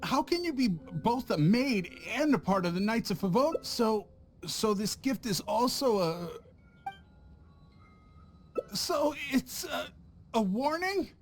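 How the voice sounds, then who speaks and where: A man's voice: very high voice, big pitch swings, slow pace, pauses often, exaggerated voice. A man voicing a cowardly, scheming visiting merchant in a game, stammering and panicky. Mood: nervous.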